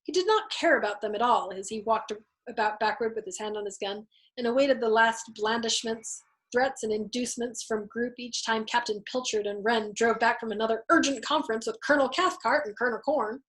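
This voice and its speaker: Higher-voiced woman reading a novel aloud. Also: brisk, fairly bright tone. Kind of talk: audiobook-style narration.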